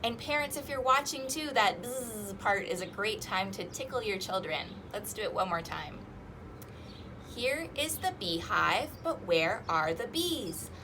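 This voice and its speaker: A woman hosting a children's storytime, reading rhymes and picture books aloud in an animated, sing-song, exaggerated way. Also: medium pitch, measured pace, background noise. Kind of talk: interactive children's read-aloud with rhymes and fingerplay.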